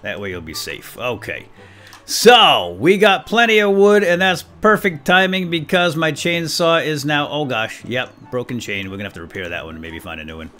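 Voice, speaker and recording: Man, folksy and chatty gaming host, bright forward voice, casual play-by-play over music. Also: a little high, expressive, moderate pace. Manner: folksy, chatty, talks directly to viewers. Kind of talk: casual gameplay commentary with light role-play.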